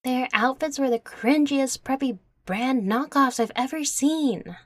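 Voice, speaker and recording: A woman's voice: high voice, unhurried, dry recording, studio quality. A woman playing a proud, combative young fairy in an audio roleplay, brash and sarcastic. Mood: scornful.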